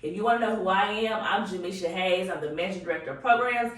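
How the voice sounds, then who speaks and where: A woman's voice: mid-range voice, fast. A woman hosting an interview show, upbeat and effusive as she introduces and questions her guest, recorded in an office. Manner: enthusiastic, effusive. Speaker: host. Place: office room. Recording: echoey room, distant mic.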